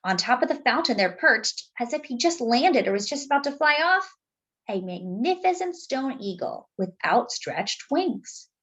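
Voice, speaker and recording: A woman reading a picture book aloud to young children as a storytime host, expressive and speaking directly to her listeners. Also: on the high side, moderate pace.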